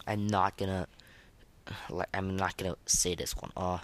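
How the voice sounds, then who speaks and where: A man's voice: low voice, steady tone, normal speed, no echo. A young man hosting a casual, unscripted podcast of game ratings in a hesitant, rambling, informal way. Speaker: podcast host.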